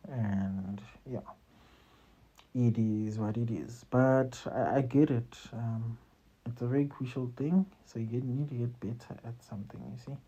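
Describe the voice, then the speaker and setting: South African male host, career tips, casual chatty delivery, deep-toned and breathy, muffled low-fi recording. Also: mellow. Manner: loose and conversational, often tags lines with "you see" and "man". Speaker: host giving job interview advice. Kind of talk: casual advice talk to camera.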